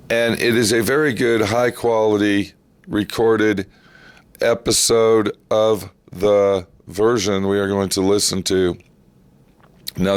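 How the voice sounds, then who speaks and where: A man informally introducing vintage radio programs as a host, with a deliberate, emphatic delivery that repeats for emphasis. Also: on the low side, no echo. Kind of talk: informal, unscripted spoken introduction.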